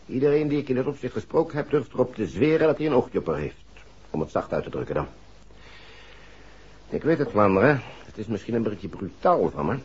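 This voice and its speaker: An actor playing a polite, somewhat hesitant gentleman in a 1960s radio drama. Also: mid-range voice, big pitch swings, a little fast, husky. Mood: polite, concerned. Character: polite, hesitant gentleman seeking a detective's help. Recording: muffled hissy vintage radio recording.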